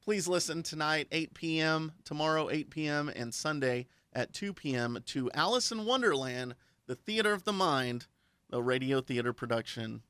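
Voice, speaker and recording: A man's voice: fairly high pitch, expressive, moderate pace, fairly bright tone, clean tone, no room sound. An American man hosting a friendly radio interview with an enthusiastic, affirming, folksy manner. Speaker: radio host interviewing a guest.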